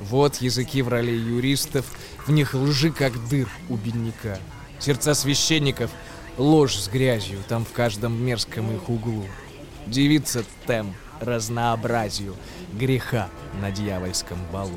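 An actor voices a wry male storyteller in a radio drama. He recites ghoulish verse expressively and with agitation, in a medium-pitched voice. Music plays clearly behind him. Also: unhurried, lightly acted. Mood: grim scorn. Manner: theatrical, expressive. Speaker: actor narrating a verse tale.

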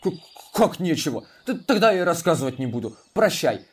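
A man's voice: on the high side, very animated, normal speed, breathy edge, distant mic. A man voicing a proud, touchy male watchdog who speaks bluntly and barks between phrases, in a children's audio drama. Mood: huffy.

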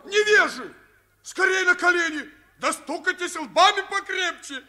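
Jeering, very high-voiced street rogue. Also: male voice, measured pace, full character voice. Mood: mock pomp. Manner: mocking, shouted mock-pomp. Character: jeering, boisterous street rogue mocking a beggar. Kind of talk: theatrical radio drama acting. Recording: jeering crowd in old radio play.

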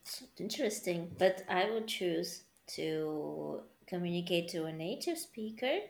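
Woman, slow and thoughtful, kinda low voice, echoey room. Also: animated, vocal fry. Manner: slow, reflective, searching for words. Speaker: conversation participant. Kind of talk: casual chat.

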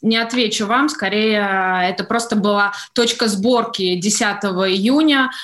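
A woman's voice: mid-range voice, unhurried, fairly bright tone. A woman answering as a guest in a radio interview, explaining hesitantly and piecing her thoughts together as she goes. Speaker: interview guest, studio executive.

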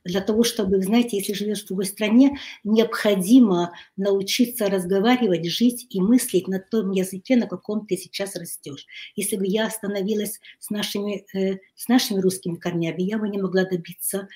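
A woman guest, a life coach and family therapist, talking in a halting way and searching for words, her voice strained after a recent illness. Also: medium pitch, steady tone, mellow. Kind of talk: casual interview talk.

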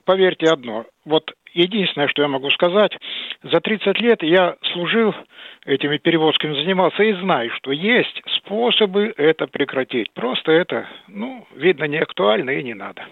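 A man of eighty phones in to a radio show with a high, creaky voice. He speaks haltingly, doubling back on his words, over a dry, thin telephone line. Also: expressive, dry recording. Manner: halting, stammering, repeats himself. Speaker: radio show caller, retired military transport officer. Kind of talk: hesitant phone-in talk.

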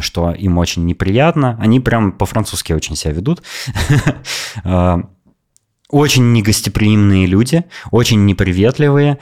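A podcast host with a very deep voice tells a travel story over casual chat. He speaks with lively, opinionated conviction.

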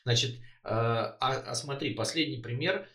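A man's voice: mid-range voice, normal speed, fairly bright tone. Man hesitating through political talk. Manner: hesitant, many filler sounds, emphatic. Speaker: co-host. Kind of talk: casual political discussion. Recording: roomy echo.

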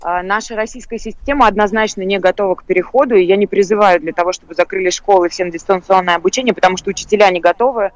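A woman's voice: mid-range voice, quick pace, few pauses, no room sound. A woman speaking as an expert guest in a live talk show, explaining her points. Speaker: guest expert, lawyer for online schools.

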